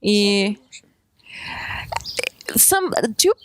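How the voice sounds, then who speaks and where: A woman's voice: medium pitch, sing-song, drawn-out words, smooth. A woman with a Brazilian Portuguese accent, a native Portuguese speaker, interviewing casually in English and sometimes searching for words.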